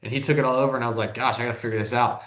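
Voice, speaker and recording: A man in his thirties, a business owner speaking as a guest, talks quickly and loosely. He rambles and circles back, with lots of "like" and restarted sentences. The room gives his voice a noticeable echo. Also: medium pitch, steady tone, a bit bright. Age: 30s. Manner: rambling, heavy use of "like", frequent restarts. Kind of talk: casual interview talk.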